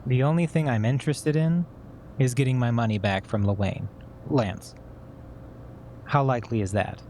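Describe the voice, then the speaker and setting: A man's voice: mid-range voice, normal speed, a little mellow, lightly acted. Actor as cautious, anxious man, noisy background. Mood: guarded. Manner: hesitant, defensive. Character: cautious, anxious amateur hacker out to recover his money. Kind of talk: naturalistic fiction podcast acting.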